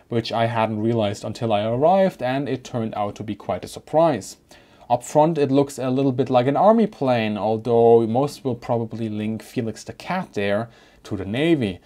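A man in his thirties giving a relaxed, explanatory walkthrough of an aircraft cockpit, with frequent filler sounds, recorded close in a cockpit inside a hangar. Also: mid-range voice. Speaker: aviation history presenter.

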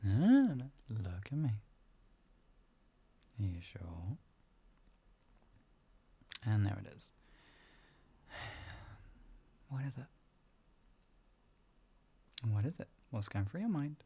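Husky, gravelly boyfriend acted by a man, gently doting. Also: lowish voice, very animated, slow pace, pauses often, full character voice. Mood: gentle concern. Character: gentle, doting boyfriend reassuring his partner. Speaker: voice actor in audio roleplay. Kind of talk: improvised ASMR boyfriend roleplay, intimate comfort talk.

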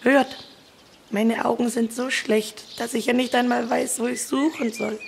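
A woman's voice: on the high side, steady tone, unhurried, creaky. A woman playing a frail, pleading old granny in a German-style fairy-tale audio story, courteous and hungry. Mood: weary pleading. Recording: noisy recording with birdsong. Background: birdsong.

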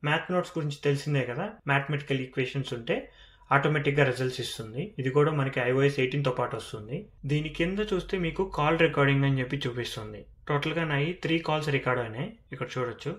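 A man's voice: medium pitch, very fast. A man with a Telugu accent presenting a tech walkthrough, explaining features step by step and mixing in English terms. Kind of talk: phone feature walkthrough narration.

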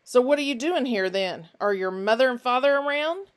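A woman voicing a large, menacing stranger who puts on a false friendliness and talks loudly and mockingly. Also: fairly high pitch, expressive, moderate pace, pitched above the performer's own voice, natural voice. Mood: coaxing. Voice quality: intelligent but unfriendly voice. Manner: loud, projecting, mocking.

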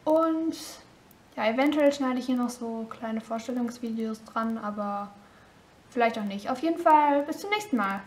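A woman's voice: a little high, moderate pace, natural voice. A girl voicing a chatty young mother showing off her home. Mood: chatty cheerful. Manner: chatty, casual tour-guide talk. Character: cheerful mother of two, thirty-three-year-old housewife. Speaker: vlog host mother in a toy figure film. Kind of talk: homemade toy-figure vlog acting. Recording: roomy echo.

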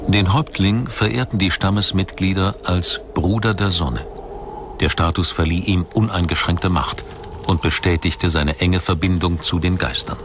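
A man delivering scripted documentary narration in a measured, formal storytelling manner. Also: deep voice, fairly even intonation, noisy background. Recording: music bed under voice. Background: background music.

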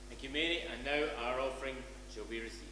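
A man's voice: medium pitch, fast. A man speaking as a minister, praying in a solemn, declaiming, formal liturgical style in a large church. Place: large church, behind communion table. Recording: echoey church hall, hum and noise.

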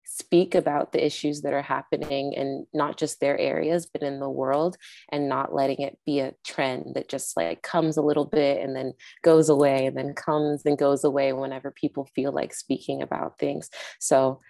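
A woman's voice: extremely low pitch, flat delivery, normal speed, vocal fry, warm tone, no room sound. A young woman, a film director, answering interview questions casually and earnestly over a video call with an earbud mic, with lots of "like" and "um". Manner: casual, earnest, many fillers like "like" and "um". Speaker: film director and network founder. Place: indoors with a virtual background. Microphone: wired earbud mic on a video call.

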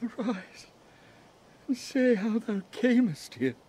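Man acting slow, high-voiced grieving king. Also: very animated, warm tone, heavily acted. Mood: awed, solemn. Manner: slow, emotional, theatrical. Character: grieving King of Naples, solemn bereaved father. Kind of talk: Shakespearean audio drama acting.

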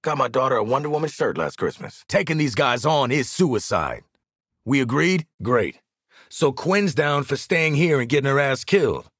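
An actor voicing a blunt, impatient assassin in a video game, sarcastic and commanding, with an American accent. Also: male voice, mid-range voice, sing-song, moderate pace, fairly bright tone, slightly rough, dry recording, studio quality. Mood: exasperated, sardonic. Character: gruff, sardonic, impatient adult male assassin. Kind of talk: video game character dialogue.

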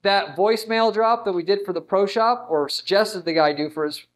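A man's voice: high voice, normal speed. A man in his thirties giving an informal marketing lesson in an emphatic, conversational manner, speaking into a lapel mic. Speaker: marketing instructor. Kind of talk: informal whiteboard lesson, explaining to camera. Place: indoors in front of a whiteboard.

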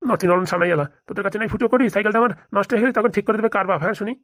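Female voice actor as a grumbling, distrustful old grandfather in a comedy cartoon; quick, low, dry. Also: no echo, lightly acted. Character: grumpy suspicious old village grandfather. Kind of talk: comic cartoon voice acting.

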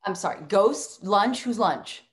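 A young woman playing an anxious, skeptical former assistant in a comic table read, deadpan and incredulous, over video call. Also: mid-range voice, a little slow, close to an everyday voice. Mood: anxious skepticism.

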